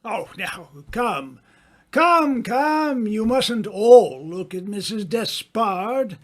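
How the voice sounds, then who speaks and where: A man acting in an old-time radio mystery as a suave, smug gentleman sleuth, with a theatrical, accusing delivery. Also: high voice, expressive, extremely slow, full character voice.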